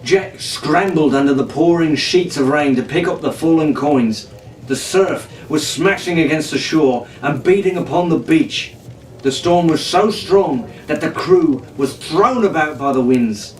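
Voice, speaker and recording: A man reading a storybook adventure in a dramatic, theatrical, animated way. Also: fairly high pitch, a little slow. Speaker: audiobook narrator reading in character as a pirate captain. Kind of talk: dramatic scripted storybook narration. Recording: roomy, distant, noisy home-style recording.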